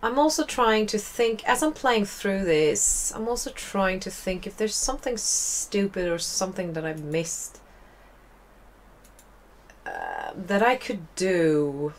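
A woman's voice: mid-range voice, very animated, slow pace, slight background noise. A young woman with a Swedish accent, casually commenting while playing a game, chatty and rambling with wry asides. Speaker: let's play host.

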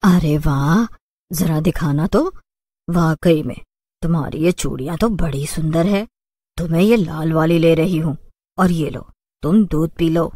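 A woman's voice: low voice, measured pace, dark tone, slightly rough. A woman voicing a sly, commanding witch who drawls and mocks in a cartoon story. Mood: sly, pleased. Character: sly green witch woman, mocking, offering bargains.

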